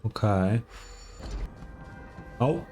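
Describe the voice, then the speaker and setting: A male streamer gives casual reactions to a story game in a fairly low voice. He is irreverent, swears freely and talks back to the characters. Game music plays quietly behind him. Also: expressive, drawn-out words. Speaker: gaming streamer.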